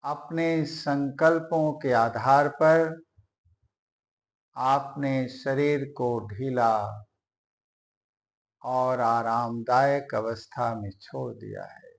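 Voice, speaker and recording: A man teaching yoga, giving guided relaxation instructions in a deliberate way with long pauses. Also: medium pitch, fairly even intonation, gravelly.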